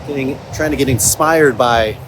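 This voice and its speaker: A man's voice: medium pitch, normal speed. A man talking casually and informally about his creative work, in video diary style. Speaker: audio drama writer and creator. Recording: noisy location recording with vehicle and ambient noise.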